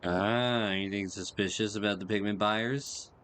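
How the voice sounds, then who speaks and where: A man's voice: on the low side, normal speed, clear, resonant tone. A man hosting a gaming video, giving casual, playful reaction commentary over the game. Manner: expressive, playful, exclamatory. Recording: game music behind the voice.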